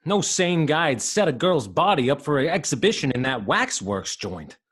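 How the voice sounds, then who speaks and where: An actor playing a defensive, rough-edged police detective in a radio drama, raspy and emphatically colloquial. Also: male voice, fairly high pitch, big pitch swings, moderate pace, fairly bright tone, full character voice. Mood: opinionated. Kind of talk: old-time radio drama acting.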